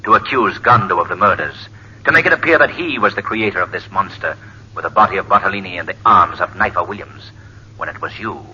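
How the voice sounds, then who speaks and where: A man's voice: sits low, quick pace, pushed and bright, slight background noise. A man acting a stern, righteous hypnotist crime fighter in a solemn, commanding 1940s radio style. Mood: stern, accusing. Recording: dull 1940s radio recording, music behind.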